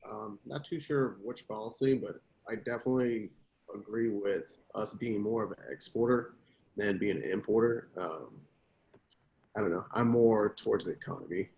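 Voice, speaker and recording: Low, gravelly man in his twenties. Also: normal speed, darkish tone, echoey room, a little hiss or hum, rough recording. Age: 20s. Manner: unsure, mumbling. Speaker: focus group participant. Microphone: video call.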